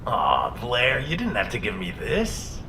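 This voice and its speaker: An actor playing a soft-hearted, appreciative guard in a video game. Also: male voice, medium pitch, wildly swooping pitch, measured pace, noisy background. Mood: grateful. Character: grateful, soft-hearted male guard on watch.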